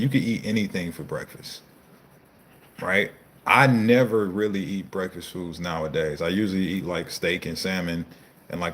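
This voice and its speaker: A man in his thirties, a fitness coach, hosting a casual live Q&A, reading viewer comments and answering in a loose, slangy, profane way. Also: deep voice. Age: 30s.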